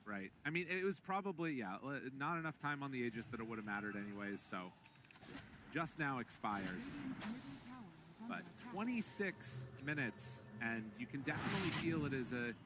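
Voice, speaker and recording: A man's voice: medium pitch, lively intonation, normal speed. A man doing live play-by-play commentary on an esports match. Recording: clear background noise behind voices.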